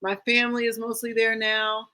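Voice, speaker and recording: A woman's voice: on the high side, completely flat delivery, normal speed, sharp tone. A woman with an American accent talking casually as an interview guest, informal and easygoing as she reminisces. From United States. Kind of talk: casual chat, personal recollection.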